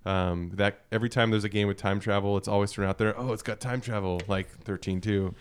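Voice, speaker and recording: A man in casual conversation about video games as a podcast panelist, explaining things in a halting, thinking-aloud way. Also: fairly low pitch, fairly even intonation, smooth.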